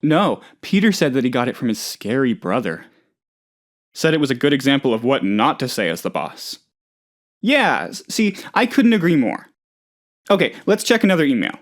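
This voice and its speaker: A man voicing a naive, excitable oddball office boss in a video game, chatty and rambling, with hesitant, earnestly gullible delivery. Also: medium pitch, moderate pace. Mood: indignant, uneasy, then eager. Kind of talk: video game character dialogue.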